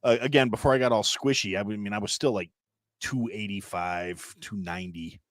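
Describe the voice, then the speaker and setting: A man's voice: fairly even intonation, brisk, no echo, studio quality. A man in his thirties from Wisconsin, a podcast guest telling long rambling stories and acting out other people's voices. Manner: rambling storyteller, acts out voices with big pitch jumps. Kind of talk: casual chat.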